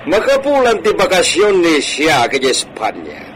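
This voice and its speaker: A wealthy, well-mannered hacienda gentleman from a radio drama. His speech is slow and formal with widely swinging intonation, sprinkled with Spanish courtesies. Faint noise sits behind the voice. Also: a little high, huge pitch swings, exaggerated voice, rough recording. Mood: genial. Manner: formal, measured delivery peppered with Spanish. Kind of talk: Ilocano radio drama acting.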